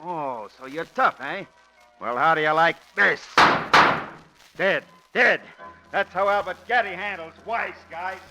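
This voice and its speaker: An actor playing a tough, boastful, trigger-happy gangster in barking 1940s radio drama style. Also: male voice, sits high, measured pace, over loud music, low-quality recording. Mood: gloating, vicious. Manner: barking, menacing. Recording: old 1940s radio broadcast recording.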